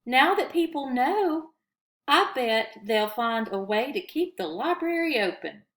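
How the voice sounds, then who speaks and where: Woman voicing an anxious, emphatic schoolboy, pitched up. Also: big pitch swings, a little slow, a bit bright, heavily acted. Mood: confident. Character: anxious, idea-driven sixth-grade boy in a storybook.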